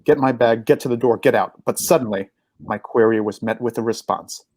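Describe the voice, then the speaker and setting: A man delivers a scripted ghost story with theatrical suspense. His voice sits at an ordinary male pitch, recorded very dry, with hardly any room around it. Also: fairly quick, a little mellow, dry recording. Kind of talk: dramatic scripted narration.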